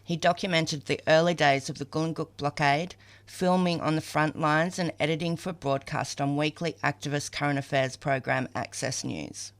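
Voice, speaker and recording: A woman's voice: deep voice, steady tone, moderate pace, hardly any pauses. An Australian woman reading scripted tributes as a podcast reader.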